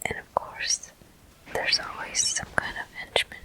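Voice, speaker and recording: Very slow, breathy ASMR whisper. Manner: hushed, unhurried, gently explanatory, pausing between short phrases. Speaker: gameplay host. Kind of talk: whispered ASMR game walkthrough commentary.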